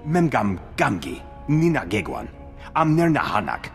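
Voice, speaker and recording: A man's voice: mid-range voice, huge pitch swings, a little slow, gravelly, soft background music, full character voice. An actor voicing a male alien engineer in a video game, chattering in an invented alien language. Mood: animated.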